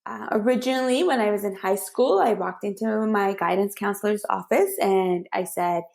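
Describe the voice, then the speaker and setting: A woman's voice: medium pitch, normal speed, gravelly, a little mellow. A woman from Iran, a planetary scientist, answering interview questions in a chatty, self-deprecating way over a remote call.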